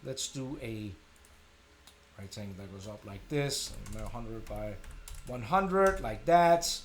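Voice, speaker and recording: A middle-aged man from Denmark with a Danish accent, hosting a casual live software tutorial, thinking aloud in an informal, self-deprecating way. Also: on the low side, lively intonation, slow, a little hiss or hum. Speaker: tutorial live stream host.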